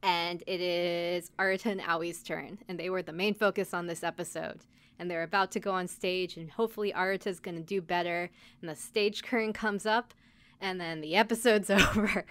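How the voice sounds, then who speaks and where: A woman's voice: on the low side, steady tone, normal speed, fairly bright tone, clean tone. A woman podcast host giving a casual, chatty recap, speaking conversationally with lots of filler "like". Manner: conversational, peppered with "like".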